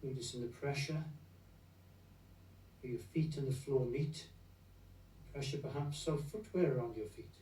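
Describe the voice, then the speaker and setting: A man leading a guided meditation in a gentle, unhurried, soothing manner, leaving long pauses between phrases. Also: mid-range voice, a little hiss or hum. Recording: roomy echo, distant from the mic.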